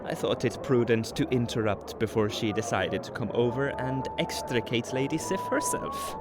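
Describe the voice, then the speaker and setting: A man's voice: medium pitch, normal speed, heavy background noise, close to an everyday voice. A man playing a sly, teasing trickster god in an audio drama, speaking with mock courtesy and formal, mischievous phrasing. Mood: smooth, courtly.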